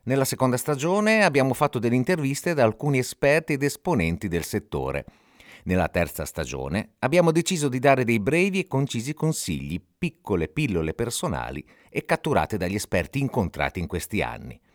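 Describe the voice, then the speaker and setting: A man's voice: mid-range voice, very animated, brisk, dry recording, studio quality. A man hosting a podcast, giving scripted explanatory narration in a didactic way, speaking directly to the listener. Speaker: podcast host.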